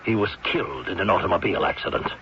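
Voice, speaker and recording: A man playing a steady, grave friend with a measured delivery in a 1970s radio drama. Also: sits low. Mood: stern, accusing. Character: steady, grave friend who exposes a murder plot. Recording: muffled, hissy 1970s radio recording.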